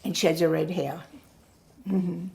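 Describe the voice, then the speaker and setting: An older woman whose first language is Yiddish, recalling her family in an oral history interview, pausing often to search for words. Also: lowish voice, steady tone, gravelly, darkish tone. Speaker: Holocaust survivor giving testimony.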